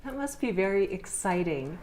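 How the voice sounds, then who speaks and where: A woman's voice: mid-range voice, very animated, normal speed, a little mellow, a little hiss or hum. A woman hosting a local television interview, asking questions in a sympathetic, encouraging way. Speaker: interviewer host. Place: school, indoors.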